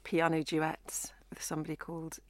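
A woman's voice: sits low, completely flat delivery, normal speed, no echo. A young woman, a singer, answering interview questions modestly and casually, with frequent restarts. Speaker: singer and musician guest.

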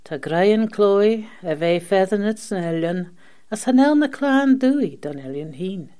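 A woman's voice: medium pitch, animated, normal speed, dark tone. A woman presenting a scripted radio magazine programme.

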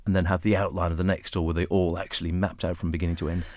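Radio presenter, male, lowish voice, conversational questions, dry studio sound. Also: level tone, racing, darkish tone, no echo. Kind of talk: radio interview.

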